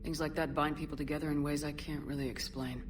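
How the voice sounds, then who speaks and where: An actress playing a resolute, weary military commander in a science fiction video game, measured, terse and sincere. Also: female voice, deep voice, fairly even intonation, background noise, close to an everyday voice. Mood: reflective. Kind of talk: cinematic video game dialogue acting.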